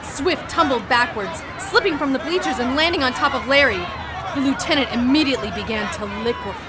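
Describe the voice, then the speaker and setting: An actress playing a haunted heroine, narrating an audio drama gravely and dramatically in the first person. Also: female voice, high-pitched, fairly quick, over music, close to an everyday voice. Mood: tense. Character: haunted woman narrator fighting mind control. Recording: noisy crowd and outdoor din behind.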